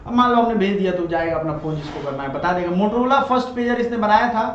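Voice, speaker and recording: A man's voice: high voice, animated, fast. A man giving a conversational current-affairs lecture as a teacher, mixing in English and checking in with listeners. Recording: echoey room, muffled, loud background noise.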